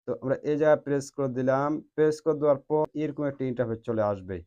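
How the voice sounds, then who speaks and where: A man's voice: medium pitch, rapid-fire, dark tone, smooth, muffled recording. A man hosting a step-by-step phone tutorial in a repetitive instructional way. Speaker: tech tutorial host.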